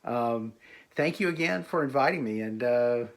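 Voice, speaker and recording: A man's voice: mid-range voice, expressive, moderate pace, creaky. A man, an author, speaking in a recorded message to a library audience, hesitating often with "uh" and "um". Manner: hesitant, full of "uh" and "um" fillers.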